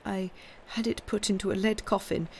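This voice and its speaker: Reader doing an old widowed marchioness: high, shaky, tearful, storybook period drama. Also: fairly even intonation, moderate pace, gravelly, a little mellow, a little hiss or hum, natural voice. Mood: grief-stricken. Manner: high, trembling, tearful delivery. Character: grieving elderly noblewoman, widowed, dazed. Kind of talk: audiobook character voice.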